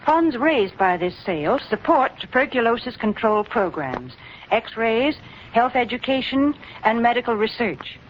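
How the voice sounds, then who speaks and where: A woman reading a scripted 1940s radio sponsor announcement in an earnest, measured way. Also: on the high side, very animated. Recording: muffled, hissy 1940s radio recording.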